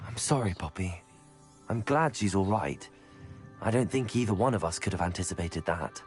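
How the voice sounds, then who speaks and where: A man's voice: low voice, animated, normal speed, soft background music. A man acting a brave, supportive student wizard as the hero of a video game. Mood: concerned.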